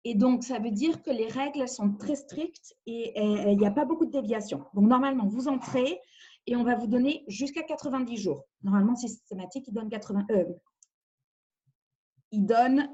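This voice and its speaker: A woman's voice: on the high side, dark tone, highs cut off. A woman from France, an immigration lawyer, giving a webinar presentation over a video call, explaining carefully with frequent hesitations.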